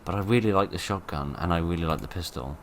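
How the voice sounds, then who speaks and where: Hoarse low-voiced man playing a game. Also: fast talker, background noise. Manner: chatty, wry, exasperated outbursts at the game. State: getting hoarse. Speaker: gaming commentator. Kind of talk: let's play commentary.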